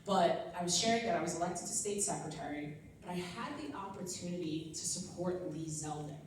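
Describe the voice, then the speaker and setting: Young woman, lowish voice, earnest and upbeat speech, far from the mic in a very echoey room. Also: brisk, noisy background. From Long Island, New York. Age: young adult. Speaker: political organizer giving a training talk. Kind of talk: speech at a training event.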